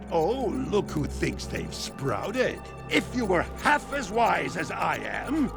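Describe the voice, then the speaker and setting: A cranky old sage from a video game. The voice is high and creaky with a nasal edge, swinging widely as he fusses and scolds over background music. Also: full character voice. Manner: fussy, exclamatory, old-fashioned oaths. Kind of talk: animated video game cutscene acting. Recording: music under the voice.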